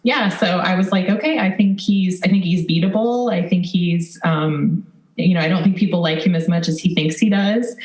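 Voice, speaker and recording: An American woman talks in an echoey room as an interview guest. Her voice sits a little on the low side. She sounds earnest and encouraging, with the informal phrasing of an advocate in conversation. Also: normal speed, a little mellow. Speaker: podcast guest, political advocate and former candidate.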